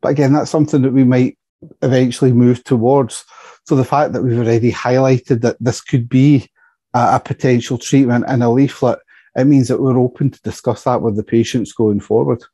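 A man presenting on a video call, steady and explanatory, with some fillers and restarts. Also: medium pitch, brisk, breathy edge, dry recording. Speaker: specialist pharmacist. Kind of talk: panel presentation.

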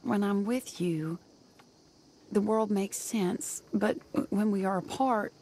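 An actress playing a genteel, wistful American woman in love, measured and refined, in a Western video game. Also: medium pitch, mellow. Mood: sorrowful, resigned. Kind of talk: cinematic game dialogue and letter reading.

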